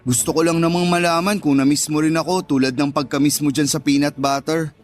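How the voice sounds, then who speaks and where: Actor as a lovestruck Filipino suitor, lightish voice. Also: male voice, fairly high pitch, fairly even intonation, fairly quick, soft background music, lightly acted. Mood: playful teasing. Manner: playful, earnest, tender. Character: devoted, teasing man courting his old sweetheart. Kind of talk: Filipino radio drama acting.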